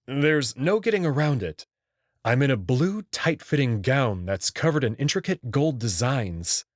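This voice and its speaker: A man's voice: mid-range voice, very animated, unhurried, dry recording, studio quality. A man narrating a scripted story expressively as the host of a visual novel reading channel.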